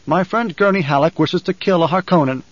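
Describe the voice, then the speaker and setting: A man's voice: a little high, brisk, no echo, dull audio, voice pushed up, natural voice. A man voicing a cold, defiant young nobleman in an audiobook, speaking in a controlled, declamatory way. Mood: challenging.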